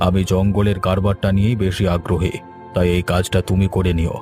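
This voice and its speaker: Man playing a dark-voiced scheming village boss. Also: sits low, level tone, a little fast, soft background music, natural voice. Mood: cold, scheming. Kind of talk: Bengali cartoon story acting.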